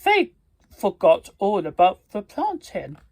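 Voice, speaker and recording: A storybook reader and video host with a halting, careful delivery and stumbles, reading aloud in a husky voice. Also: mid-range voice, huge pitch swings, darkish tone, no room sound. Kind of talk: read-aloud storybook narration.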